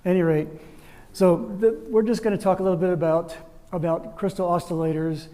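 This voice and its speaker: A soft-spoken man presenting on a lapel mic at a club meeting, joking about himself in an easygoing way. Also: high-pitched, fairly even intonation, a little fast. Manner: soft-spoken, self-deprecating joker. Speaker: guest presenter. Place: meeting room. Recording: reverberant room with faint background noise.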